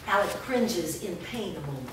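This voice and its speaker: A woman's voice: on the low side, animated, normal speed. A woman narrator reading scripted stage directions at a staged reading. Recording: echoey room, distant mic, clear background noise.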